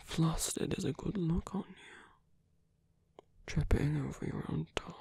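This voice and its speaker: A man playing a seductive, smug villain in an intimate ASMR roleplay, whispery, slow and coaxing. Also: on the high side, steady tone, vocal fry, darkish tone, husky, no echo, heavily acted. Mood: teasing, coaxing. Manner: slow, whispery, teasing, deliberate. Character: seductive male villain, smug, coaxing, possessive yet courteous.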